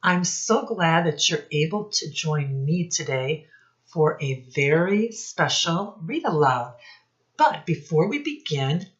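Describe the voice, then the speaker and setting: A woman teacher speaking deliberately to young children in a read-aloud lesson, addressing them directly with questions. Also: deep voice, animated. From United States. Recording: dull lo-fi sound.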